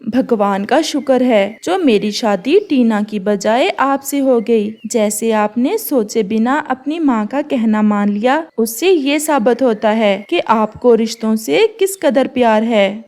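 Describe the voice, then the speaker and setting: Lady doing a polite sentimental boy bird groom, cartoon, slowish earnest delivery, highish voice. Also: a little mellow. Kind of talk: Hindi cartoon character dialogue.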